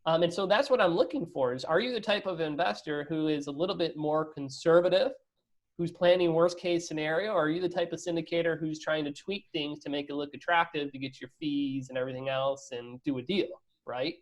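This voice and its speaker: A man's voice: on the high side, a little fast. Emphatic man explaining in an interview. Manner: emphatic, checks with rhetorical "right?". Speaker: investor being interviewed. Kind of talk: conversational business interview.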